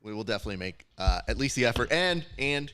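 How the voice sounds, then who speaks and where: A man's voice: medium pitch, lively intonation, quick pace, fairly bright tone, clean tone, no room sound. A man hosting a casual post-show chat, joking, teasing and rambling as he runs the segment. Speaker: show host.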